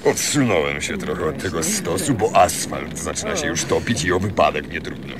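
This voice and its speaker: An actor playing a hesitant, stingy, self-important middle-aged husband in a comic radio play. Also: male voice, rumbling low, animated, brisk. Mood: blustering. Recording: radio play over very loud crowd din.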